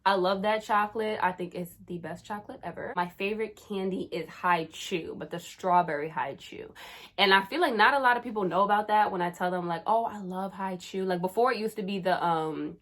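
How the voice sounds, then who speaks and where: A woman's voice: medium pitch, a little fast, a bit bright. Young woman YouTuber, twenties, chatty and slangy, casual to-camera talk. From Caribbean, raised in New Jersey. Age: 20s. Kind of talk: casual vlog chat.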